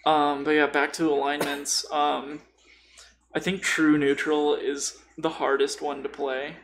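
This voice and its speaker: A man's voice: a little high, little pitch movement, measured pace, fairly bright tone, a little hiss or hum. A man chatting casually and bluntly about tabletop role-playing, with strong opinions, swearing and slang. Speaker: podcast guest.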